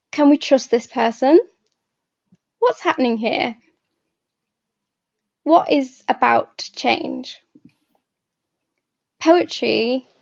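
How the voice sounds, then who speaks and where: A young woman poet giving a personal talk and reading, measured, pausing between short phrases. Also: a little high, gravelly, darkish tone, no room sound, dull audio. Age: young adult. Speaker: poet, guest speaker.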